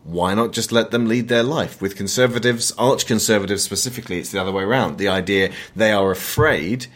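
A man hosting a conversational film discussion, emphatic and opinionated, sometimes halting as he searches for words. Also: fairly low pitch, a bit bright. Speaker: podcast host.

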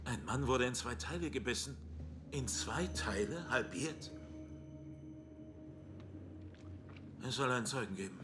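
An actor playing a deadpan, suspicious police detective in a dubbed TV drama, stressing his points by repeating them. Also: medium pitch, normal speed, background noise, lightly acted.

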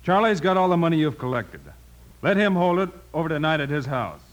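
A man playing a confident western lawman hero in an old radio drama, speaking in a calm, measured, self-assured way. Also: on the high side, close to an everyday voice. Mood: firm. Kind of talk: 1950s radio western acting.

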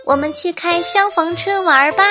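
A woman voicing a bold, excitable little girl in a storybook, exclaiming and wheedling. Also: very high voice, normal speed, music in the background, pitched above the performer's own voice. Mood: eager.

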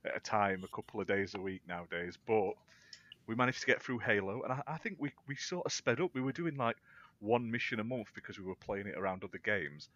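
A man's voice: lowish voice, lively intonation, fairly quick, a bit bright, dull audio. A British man co-hosting a casual gaming chat, chatty and easygoing, with a laugh now and then. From United Kingdom. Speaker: podcast co-host.

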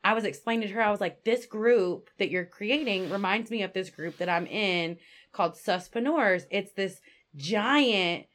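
A woman's voice: mid-range voice, moderate pace, fairly bright tone. A woman hosting an interview, chatty and encouraging as she asks questions and affirms her guest. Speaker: interview host. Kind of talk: conversational interview.

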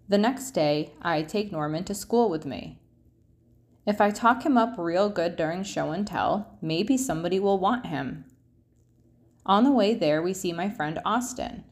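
Woman, slightly low pitch, very slow pace, expressive storybook narration, drawn-out phrase endings. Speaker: picture book read-aloud narrator. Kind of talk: expressive children's storybook reading.

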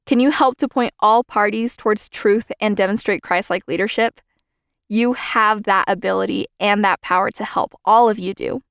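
Earnest young woman, smooth dry voice. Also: mid-range voice, a bit bright, no echo. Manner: earnest, measured delivery. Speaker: podcast host. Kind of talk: scripted devotional podcast talk.

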